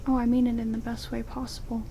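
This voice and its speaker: A woman's voice: on the high side, close to an everyday voice. Whispering, sardonic vampire woman, played by a woman in rain hiss. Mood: dry, haughty. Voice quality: soft, breathy whisper. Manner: slow, teasing, drily condescending. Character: sardonic, haughty but friendly female vampire tending wounds. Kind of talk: whispered ASMR roleplay. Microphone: close binaural microphones. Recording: steady rain hiss, binaural microphones.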